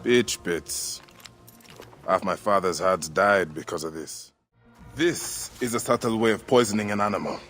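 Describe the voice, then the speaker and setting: An actor playing a gruff, wry warrior investigator in a video game, with a dry, grim manner. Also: male voice, on the low side, measured pace, a bit bright, faint music behind. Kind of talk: dramatic video game dialogue.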